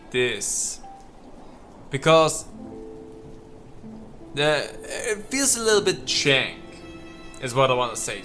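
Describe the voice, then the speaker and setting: A man hosts a casual let's play in a bright, slightly high voice. His pace is very slow and halting as he thinks aloud. Faint music sits behind him. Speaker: gaming video host.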